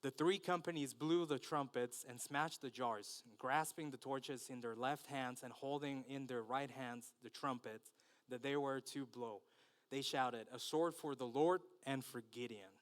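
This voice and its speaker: A man's voice: mid-range voice, a little slow. Nervous Spanish-accented man preaching. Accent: Mexican Spanish. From Mexico. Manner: nervous, hesitant with many fillers and restarts. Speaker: guest preacher. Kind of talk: sermon with scripture reading.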